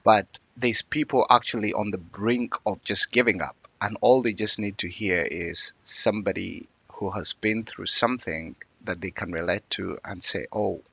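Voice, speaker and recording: A man's voice: on the low side, fairly even intonation, normal speed, gravelly, few pauses, a bit bright, slightly rough, no room sound. A man, telling his life story as an interview guest in a reflective, earnest way. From Uganda.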